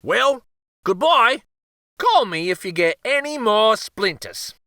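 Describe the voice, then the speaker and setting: High-pitched, teasing old uncle. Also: male voice, takes it slowly, dry recording, clean studio sound, heavily acted. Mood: sheepish good humor. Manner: folksy, excitable, repeats himself. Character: teasing old uncle, a bearded jokester doctor. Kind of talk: children's storybook character voice.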